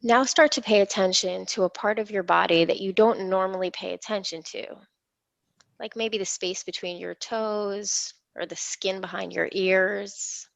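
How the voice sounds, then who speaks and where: A woman leading a guided imagery meditation in a soothing, unhurried, reassuring manner. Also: mid-range voice, fairly even intonation, gravelly, no echo. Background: gentle instrumental music.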